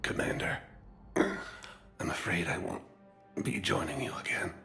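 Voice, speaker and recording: Gravelly, breathless dying alien assassin. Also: male voice, deep voice, lively intonation, pushed and bright, faint music behind, heavily acted. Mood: weak, solemn. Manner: halting, solemn, formal. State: weak, short of breath. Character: dying alien assassin, gentle, devout, at peace.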